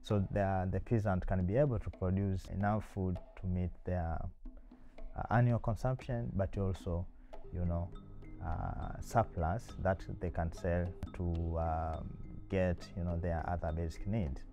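A man in his thirties from Tanzania, a university lecturer speaking in a seated interview, thoughtful with frequent pauses and fillers. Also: sits low, fairly even intonation, dark tone, faint music behind. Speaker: university lecturer and PhD candidate. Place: small indoor room.

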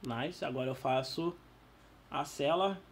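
A Brazilian man who is losing his voice, giving casual, slangy, excitable gameplay commentary. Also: medium pitch, a little slow, a little hiss or hum. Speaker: gaming YouTuber.

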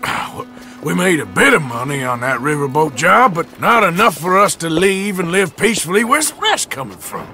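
An actor playing a gruff, sardonic American outlaw in a western video game, with a dry drawl. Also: male voice, on the high side, big pitch swings, moderate pace, sharp tone, faint music behind, full character voice. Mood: skeptical. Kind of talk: video game cutscene acting.

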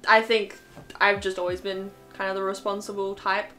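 A woman's voice: mid-range voice, level tone, moderate pace, fairly bright tone. A twenty-year-old woman hosting a chatty podcast, voice sitting mid-range. She rambles through her answers and giggles often, with faint music underneath. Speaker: podcast host. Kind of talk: casual chat.

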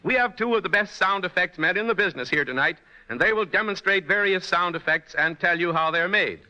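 A man's voice: sits high, a little fast, pushed and bright. A man hosting a 1940s radio show, announcing and narrating from a script. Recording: dull, noisy old radio recording, long reverb.

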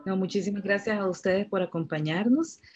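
A woman moderating a virtual panel over a video call, polite and measured. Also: mid-range voice, darkish tone, muffled recording.

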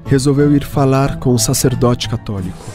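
A man with a Brazilian accent giving measured, scripted narration for a radio drama. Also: medium pitch. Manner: measured storytelling. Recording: music clearly behind voice.

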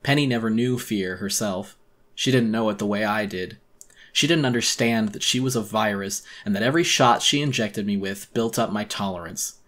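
Male narrator for dramatic horror reading. Intense, deliberate, slightly deep, with a tense forceful edge. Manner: intense, theatrical, deliberate storytelling. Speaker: audiobook narrator.

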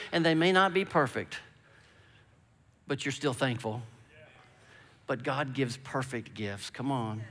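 A man's voice: mid-range voice, pushed and bright. A man in his fifties preaching a sermon from a large church stage, emphatic and calling out "come on" and "amen", mostly on a headset mic. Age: 50s. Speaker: pastor. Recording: long reverb of a large hall.

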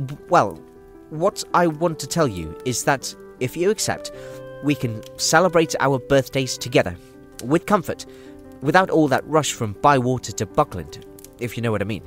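A kindly older hobbit gentleman, acted by a male narrator, fussy and affectionate. He talks in a hesitant, roundabout way with animated pitch, over music in a storybook drama. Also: moderate pace, hardly any pauses, lightly acted. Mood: earnest, hesitant.

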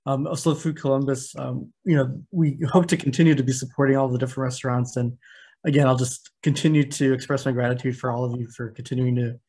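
A man in his thirties moderating a video call panel, speaking haltingly with many fillers. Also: medium pitch, steady tone, dark tone, breathy edge. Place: home room, indoors.

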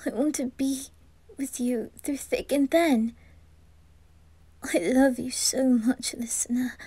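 Woman voicing a high, hesitant, lovestruck teenage boy. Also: takes it slowly, dry recording, heavily acted. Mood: tender, hopeful. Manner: breathy, hushed, hesitant delivery. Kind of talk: whispered ASMR roleplay acting.

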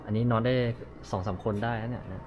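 A man in his thirties with a somewhat low voice talks his way through a shop, chatty and thinking aloud. He sounds like a casual vlogger filming on an action camera. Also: fairly even intonation, quick pace, highs cut off, over music. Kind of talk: casual vlog narration. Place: equipment shop, then outdoor lot.

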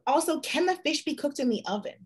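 A woman in her thirties hosting a live video call, reading audience questions in a measured, friendly way. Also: high voice, lively intonation. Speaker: live event host. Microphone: video call, webcam.

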